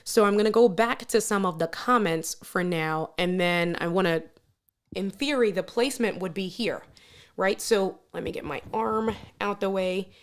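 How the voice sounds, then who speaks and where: Woman streamer with a big loud voice, chatty and playful, talking gear to her viewers in a mostly empty room. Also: medium pitch, normal speed. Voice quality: strong, loud, projecting voice. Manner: energetic, playful, talks straight to viewers. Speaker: live stream host testing microphones. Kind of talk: casual live stream chat. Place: room with little furniture. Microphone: switching between test microphones at different distances.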